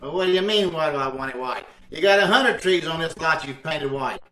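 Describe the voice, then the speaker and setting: A man acts out an indignant, scheming husband from an old radio comedy. His bright, sharp voice blusters and exclaims, tripping over words. It sounds roomy and distant, as on a home video call. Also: on the high side, lively intonation, a little fast. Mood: impatient.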